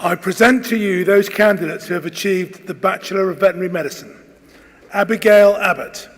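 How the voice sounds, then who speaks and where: A man's voice: high-pitched, fairly even intonation, fairly quick. A man presenting at a graduation ceremony, formally announcing names in a projected, polite delivery. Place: large ceremony hall. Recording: reverberant hall, loud crowd noise. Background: loud audience noise.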